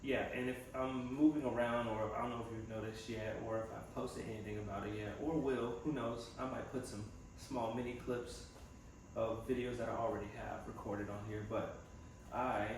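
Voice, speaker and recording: Echoey, gravelly young man chatting casually. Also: medium pitch, fairly even intonation, normal speed. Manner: reflective, rambling, thinking aloud. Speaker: vlogger and physical therapy assistant student. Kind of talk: casual personal vlog, chatting to camera. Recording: echoey room from a distance, faint noise.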